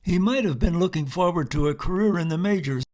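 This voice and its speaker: A man with a slightly high voice serves as tour narrator. He tells local history as stories, setting it out phrase by phrase in a measured way. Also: a little mellow, slight background noise.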